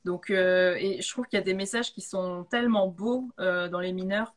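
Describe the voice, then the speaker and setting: A woman's voice: mid-range voice, fairly even intonation, moderate pace. A woman in a casual live chat, explaining tarot readings in an informal, enthusiastic way. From of Italian descent. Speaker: guest tarot reader and author.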